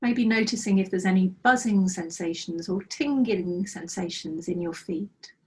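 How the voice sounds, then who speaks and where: A middle-aged woman leading a guided mindfulness practice over a video call, speaking slowly and soothingly with gentle pauses, her voice slightly croaky. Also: lowish voice, recorded from a distance. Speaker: mindfulness trainer. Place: small office with bookshelves, indoors. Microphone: laptop webcam on a video call.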